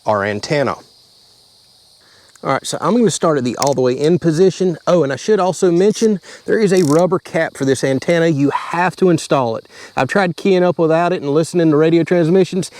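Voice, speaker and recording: A man's voice: a little high, a little mellow. A man, talking through a hands-on how-to in a casual, plain-spoken way from inside a vehicle. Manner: plain-spoken, step-by-step explaining. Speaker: channel host.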